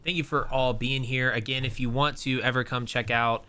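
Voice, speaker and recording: A man's voice: medium pitch, fairly even intonation, moderate pace, sharp tone, clear, resonant tone. A young man hosting a casual podcast, rambling with lots of fillers and restarts. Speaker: podcast host. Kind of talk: casual chat.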